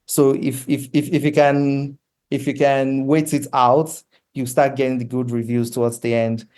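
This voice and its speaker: A Nigerian man speaks earnestly and in a measured way as an interview guest. His voice is soft-edged and mellow, middle in pitch, and stays on a very level line. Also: level tone. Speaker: comic book creator, interview guest. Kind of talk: conversational interview answers.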